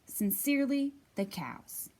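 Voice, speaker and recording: A woman voicing polite but firm storybook farm animals reading out their notes in a formal, measured way. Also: sits high, sing-song, a little mellow, exaggerated voice.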